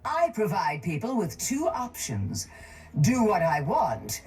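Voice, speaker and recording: An actress voicing a cold, calculating, wealthy woman crime baron in a video game, smug, measured and condescending. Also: lowish voice, wildly swooping pitch, a little mellow, slightly rough, exaggerated voice. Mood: cool, calculating.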